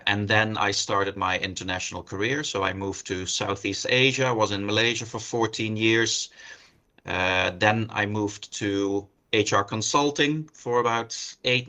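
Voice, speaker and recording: A man's voice: fairly low pitch, pushed and bright. A man in his fifties from the Netherlands with a Dutch accent, speaking conversationally as a webinar guest. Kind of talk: conversational self-introduction.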